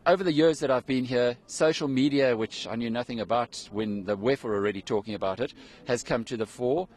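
A middle-aged man reports live outdoors into a handheld mic, his voice at an ordinary middle pitch. He chats with his guest casually, dropping in the odd um. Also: brisk, a little mellow. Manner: conversational, with some ums. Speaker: TV interviewer. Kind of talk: live TV interview. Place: outdoors in snow.

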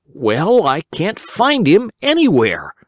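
A man's voice: high-pitched, huge pitch swings, slow pace, dry recording, studio quality, pitched above the performer's own voice, exaggerated voice. A man voicing a simple, hungry, round-faced young boy who whines and pleads. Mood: pleading. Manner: whiny, pleading, easily upset.

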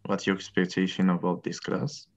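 Guy teaching on a video call, super low voice, lo-fi audio. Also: flat delivery, normal speed. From Morocco. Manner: friendly, encouraging, repeats questions. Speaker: lecturer. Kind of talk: online class introductions. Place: indoor room, tiled wall.

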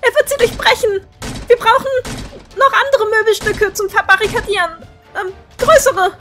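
An anxious, excitable teenage adventurer acted by a woman in a fantasy audio play. Her voice is extremely high and bright, with faint music behind. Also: normal speed. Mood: alarmed. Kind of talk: fan-made fantasy audio drama acting.

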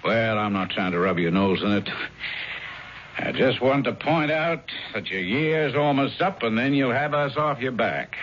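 Gruff parole officer, very animated. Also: male voice, medium pitch, a little slow. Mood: stern, warning. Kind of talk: 1970s radio drama acting. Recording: muffled 1970s radio broadcast, faint noise.

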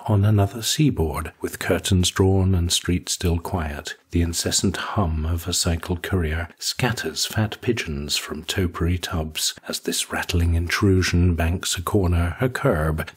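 Guy reading a short story, really deep and very raspy. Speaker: narrator. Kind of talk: scripted literary short story narration.

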